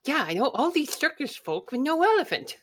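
A woman's voice: high-pitched, sing-song, a little fast, fairly bright tone. A woman playing a tabletop game, chatting casually and playfully, often breaking into giggles. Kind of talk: casual play talk.

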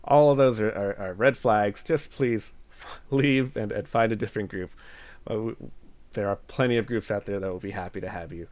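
A man's voice: fairly low pitch, moderate pace, darkish tone. A man co-hosting a casual podcast, giving earnest, reassuring advice and often stumbling and restarting. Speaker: podcast co-host.

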